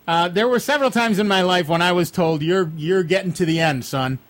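A man in his sixties with a notably high speaking voice hosts a radio show from the studio. He jokes at his own expense and keeps the chat loose and easygoing. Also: fairly quick. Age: 60s. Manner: joking, self-deprecating.

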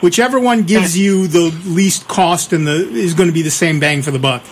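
A man hosting a call-in radio show about technology, explaining things in a relaxed, drawn-out way. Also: high-pitched, slight background noise. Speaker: radio tech show host.

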